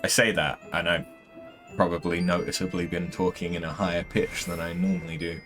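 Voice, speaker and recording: A man with a fairly deep voice giving casual, hesitant let's-play commentary, throat a bit strained from voicing characters. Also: little pitch movement, a bit bright, soft background music. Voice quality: relatively deep voice. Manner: hesitant, many ums, unhurried. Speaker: gaming streamer.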